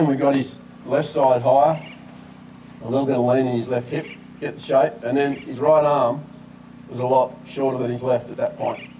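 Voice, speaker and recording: A man's voice: medium pitch, steady tone, moderate pace. An older man with an Australian accent, a golf coach explaining technique conversationally at an outdoor clinic. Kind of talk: live golf clinic demonstration. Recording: distant camera mic outdoors, background noise.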